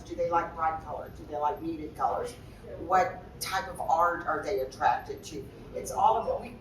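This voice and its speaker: A woman's voice: deep voice, roomy sound, recorded from a distance, a little hiss or hum, low-quality recording. A woman interior designer on a trade-show panel, speaking forthrightly in a big voice with many restarts.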